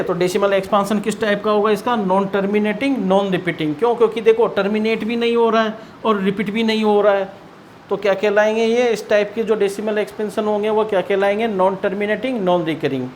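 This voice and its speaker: Indian guy teaching maths, pretty high voice, asks questions then answers them, bit of noise behind. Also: fairly even intonation, fairly quick. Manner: asks and answers own questions, repeats key points. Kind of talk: explanatory classroom-style maths lesson.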